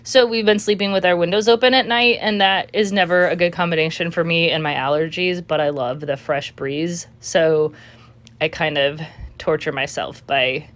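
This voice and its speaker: A woman's voice: lowish voice, steady tone, moderate pace. A young woman vlogging her shopping with a chatty, filler-heavy voice that is a little congested from a cold. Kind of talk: casual screen-recording walkthrough.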